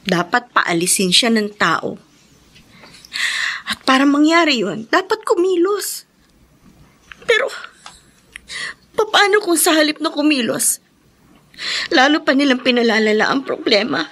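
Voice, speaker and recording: A woman's voice: on the high side, lively intonation, unhurried, pauses often, a bit bright, heavily acted. An actress playing a troubled, sorrowful young woman in a radio drama, speaking plaintively and earnestly.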